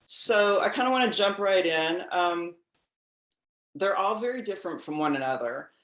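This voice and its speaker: A high-pitched man moderates a film panel, gushing about the films and wandering through his questions. His voice rings in an echoey room. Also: animated, normal speed, fairly bright tone. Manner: enthusiastic, rambling, filler-heavy. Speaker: moderator, TV critic.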